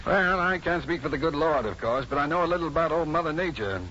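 An actor playing an urbane, self-assured doctor in a 1950s radio drama, articulate, dry and probing. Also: male voice, a little high, animated, fast talker, bright, forceful tone. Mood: wry, teasing. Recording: dull, noisy 1950s radio recording.

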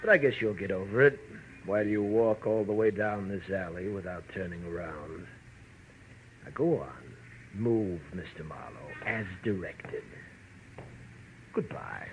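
An actor playing a cool, smug, crooked private eye in a 1940s radio noir, taunting with mock politeness. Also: male voice, deep voice, unhurried, long pauses. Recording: noisy vintage radio recording.

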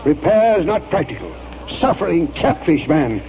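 Pompous old colonel, high-pitched voice. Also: male voice, very animated, a little slow. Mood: indignant, defiant. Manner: pompous, oratorical, formal. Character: proud, stubborn old Southern retired army colonel. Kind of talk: 1940s radio drama acting. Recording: muffled, noisy 1940s radio recording.

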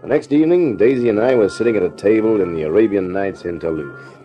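An actor playing a flirtatious, hard-boiled private detective in a 1950s radio drama, with a slangy, wry, breezy delivery. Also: male voice, on the low side, fast, dull audio, close to an everyday voice. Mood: wry narrating. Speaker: actor in the lead part of a detective radio serial. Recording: 1950s radio drama recording, music behind.

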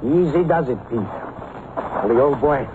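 An actor playing an easygoing, soothing racetrack pal in an old radio drama. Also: male voice, medium pitch, lively intonation, unhurried, close to an everyday voice. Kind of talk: 1940s-50s radio drama acting. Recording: muffled old radio recording, faint noise.

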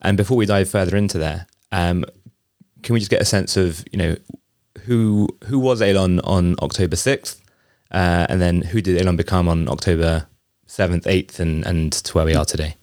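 A man hosting a podcast interview, asking long questions in a halting way, with many restarts. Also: sits low, a little mellow, dry recording, studio quality. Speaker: podcast host.

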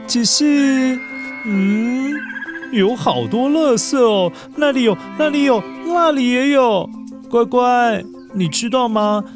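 A man with a Taiwanese accent telling a story expressively, speaking directly to young children. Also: extremely high pitch.

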